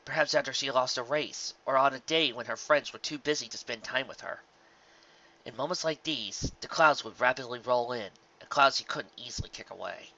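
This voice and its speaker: Very bright, forceful male story narrator. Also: medium pitch, moderate pace, highs cut off. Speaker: narrator reading fan fiction. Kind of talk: scripted dramatic story narration.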